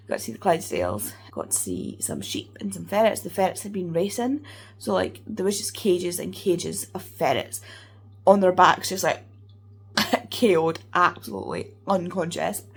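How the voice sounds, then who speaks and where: A woman with a hoarse, congested voice, chatting casually in a rambling way as a book vlogger. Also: fairly low pitch, a little hiss or hum. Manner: rambling, chatty, many fillers. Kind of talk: casual vlog chat.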